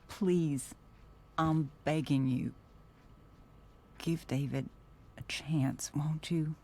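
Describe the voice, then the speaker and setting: Game role: tender middle-aged mother, imploring, very low warm voice, unhurried. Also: flat delivery, creaky, heavily acted. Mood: pleading. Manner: soft, affectionate, imploring. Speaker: video game character. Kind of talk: emotional game cutscene acting.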